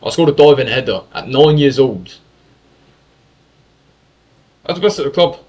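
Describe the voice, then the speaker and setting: A man's voice: mid-range voice, lively intonation, moderate pace, a little mellow. A young man from England telling a story in a casual monologue, talkative and full of swearing and self-corrections. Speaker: YouTuber.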